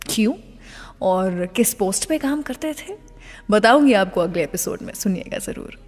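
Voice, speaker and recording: A woman's voice: mid-range voice, lively intonation, normal speed, darkish tone. Indian woman radio host in long reverb. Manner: addresses listeners directly, with teasing questions; mixes English words and phrases into speech. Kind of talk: conversational radio presenting.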